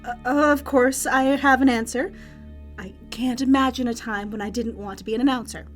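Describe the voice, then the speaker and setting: A woman playing a cheeky, evasive underground fight announcer in an audio drama, teasing and quick to get defensive. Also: fairly high pitch, fairly even intonation, close to an everyday voice. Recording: music under the voice.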